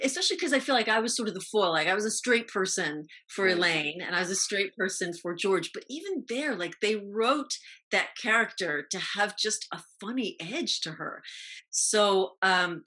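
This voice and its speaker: Bright, husky American woman, clipped phrasing. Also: mid-range voice. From New York, United States. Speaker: guest, actress recalling TV roles. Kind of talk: reflective interview conversation.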